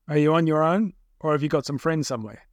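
A man's voice: a little high, quick pace, no room sound, lightly acted. A man playing a suspicious kidnapping gang boss in an audiobook, terse, scornful and commanding. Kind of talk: audiobook character voice.

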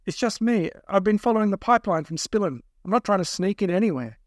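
A man's voice: high voice, lively intonation, fast, no echo, clean studio sound, voice pushed up. A man playing a frightened male captive in an audiobook, urgent, defensive and prone to stammering. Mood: anxious, pleading. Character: frightened, pleading male captive traveller protesting his case. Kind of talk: audiobook character voice.

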